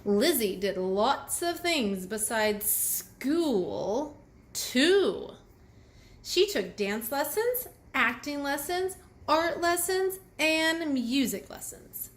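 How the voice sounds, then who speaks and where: Woman children's read-aloud host, unhurried and very expressive, addressing kids directly. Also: high voice. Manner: addresses young children directly with questions and commentary. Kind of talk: picture book read aloud with phonics asides.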